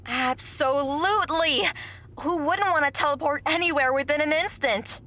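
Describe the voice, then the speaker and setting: An actress playing an excited, gushing woman giving an emphatic testimonial in an audio drama. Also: sits high. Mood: awestruck. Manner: emphatic, gushing, drawn-out key words. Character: gushing female radio interviewee, excited convert to a movement.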